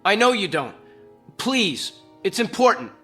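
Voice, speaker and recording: An American actor playing a troubled, brusque office executive, terse and probing, with sardonic irony in a naturalistic drama. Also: male voice, high-pitched, sing-song, a little slow, pushed and bright, breathy edge, faint music behind, exaggerated voice. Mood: insistent.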